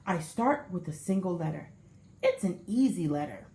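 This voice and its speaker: A woman teacher reading a children's picture book aloud with expressive storybook delivery. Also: on the low side, normal speed. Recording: echoey room.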